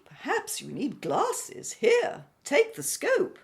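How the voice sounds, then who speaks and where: Very high, swooping lady adventurer, acted by a woman. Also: wildly swooping pitch, slow, vocal fry, heavily acted. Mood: brisk, dry. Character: Brenda, brisk, inquisitive lady airship captain and explorer. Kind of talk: audio drama acting.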